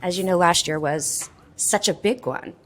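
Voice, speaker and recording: A woman with a slightly low voice speaks in a conversational interview. She is a writer and vendor talking at a convention. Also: moderate pace.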